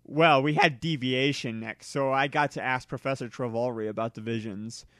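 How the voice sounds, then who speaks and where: A man reading a story aloud in a theatrical, deliberate way, as an amateur dramatic narration. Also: mid-range voice, fairly even intonation, fairly bright tone, no room sound, clean studio sound.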